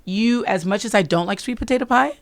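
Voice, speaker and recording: A woman's voice: mid-range voice, brisk. A woman chatting casually as a guest on a podcast. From Haitian heritage. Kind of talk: casual chat.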